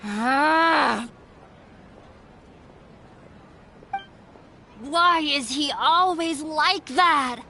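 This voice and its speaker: An actress playing a fiery, defiant young daughter in a video game, forceful and accusing, with ambience behind. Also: female voice, high voice, extremely slow, slight background noise, exaggerated voice. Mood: exasperated.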